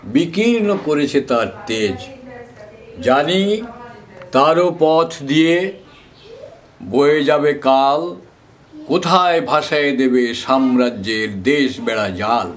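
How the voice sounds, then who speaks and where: A man reciting poetry in a dramatic, measured, declamatory way with deliberate pauses. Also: mid-range voice, animated. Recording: faint background noise.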